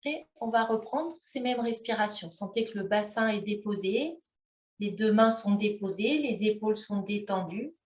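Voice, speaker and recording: Woman yoga teacher talking thru breathing, gentle w long pauses, roomy echo and kinda dull sound. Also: mid-range voice. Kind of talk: guided yoga breathing instruction. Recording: echoey room, slightly dull sound.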